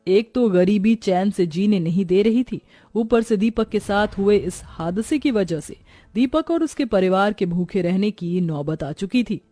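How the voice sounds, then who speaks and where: A woman's voice: medium pitch, lively intonation, unhurried, warm tone, dry recording, soft background music. A woman reading scripted narration for a moral story, as its storyteller.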